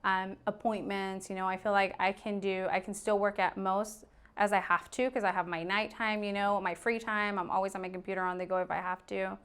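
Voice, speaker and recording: Chatty woman with a smooth voice. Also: mid-range voice, flat delivery, fairly quick, a bit bright. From Arizona, USA. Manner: open, chatty, laughs easily. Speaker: real estate agent guest. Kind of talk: casual podcast interview.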